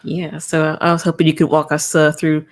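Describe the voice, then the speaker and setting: A woman's voice: low-pitched, monotone, normal speed. A woman hosting a podcast in casual chat, upbeat, with short remarks and prompts. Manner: upbeat, brief interjections. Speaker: podcast host.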